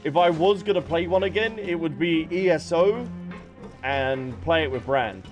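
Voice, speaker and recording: Chatty, higher-voiced man livestreaming. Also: animated, moderate pace, lo-fi sound, music in the background. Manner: chatty, playful. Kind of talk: casual game stream chat, reading and answering viewers. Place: behind: game music.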